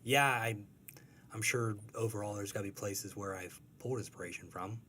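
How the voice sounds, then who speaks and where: A man's voice: fairly low pitch, moderate pace, pushed and bright, slight background noise. A man who is a cartoonist, talking casually in an interview, rambling and correcting himself, laughing easily. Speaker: cartoonist guest.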